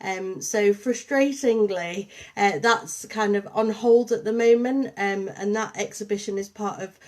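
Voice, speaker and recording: A woman with a Liverpool accent touched by Yorkshire, hosting a casual livestream and chatting warmly to viewers with lots of ums. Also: mid-range voice, measured pace. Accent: Liverpool and St Helens with a touch of Yorkshire. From Liverpool, England. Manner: chatty, warm greetings to viewers, many ums. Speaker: artist and programme coordinator hosting a livestream.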